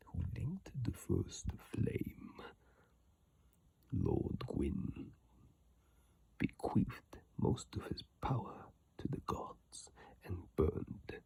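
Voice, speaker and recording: A man reading and commenting in hushed ASMR style into an iPhone mic, slow and drawn out, with a breathy, husky, mostly whispered voice. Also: sits low, no echo. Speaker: ASMR game content creator.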